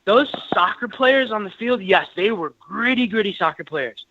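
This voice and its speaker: A man in his thirties phones in to a sports talk show, his higher voice earnest and full of restarts. The call comes through dull and dry, like a phone line. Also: animated, normal speed, sharp tone, no echo. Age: 30s. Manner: earnest, many restarts and fillers. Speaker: caller.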